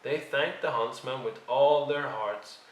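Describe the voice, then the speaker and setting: A man narrating a children's read-along story in an expressive storytelling style. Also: medium pitch, a little slow, slight background noise. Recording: roomy, distant microphone.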